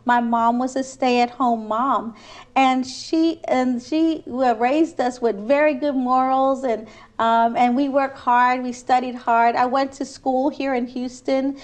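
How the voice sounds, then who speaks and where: A woman's voice: sits high, fairly even intonation, takes it slowly. A woman from Trinidad, a city council candidate, answering interview questions in an earnest, persuasive way with frequent restarts.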